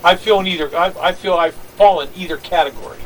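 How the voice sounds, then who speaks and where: A man with a fairly high voice hosting a radio oldies show in quick, chummy patter. He sounds a little off the mic, with a light hiss in the background of an old broadcast tape. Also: a bit bright, recorded from a distance, poor audio. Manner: upbeat, chummy, fast patter. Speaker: radio disc jockey host.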